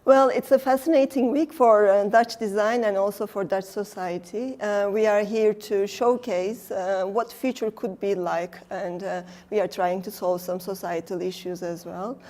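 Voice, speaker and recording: A middle-aged woman from Turkey with a Turkish accent, a design researcher talking casually in an interview, pausing often with fillers. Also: medium pitch, steady tone, warm tone. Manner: thoughtful, many fillers, laughs often. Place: design exhibition venue.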